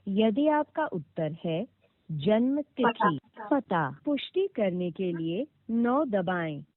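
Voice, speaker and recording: Phone-line sound, woman, slow IVR announcer, lively intonation, formal, scripted prompts. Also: mid-range voice. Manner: formal, clearly enunciated instructions. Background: played back from a recorded call.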